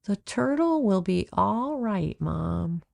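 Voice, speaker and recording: A woman's voice: mid-range voice, big pitch swings, takes it slowly, creaky, darkish tone, full character voice. A woman voicing an earnest young girl who loves turtles in a storybook, speaking in short simple phrases. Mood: reassuring.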